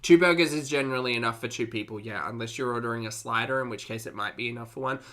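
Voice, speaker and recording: A man's voice: mid-range voice, fast talker, fairly bright tone. A man hosting a reaction stream, chatting casually with viewers in a sardonic, sweary way, sounding congested and short of breath.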